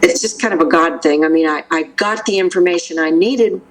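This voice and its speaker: A woman's voice: lowish voice, a little fast, low-quality recording. An older woman from the Memphis area, a vocal coach talking casually in an interview, rambling with restarts and fillers.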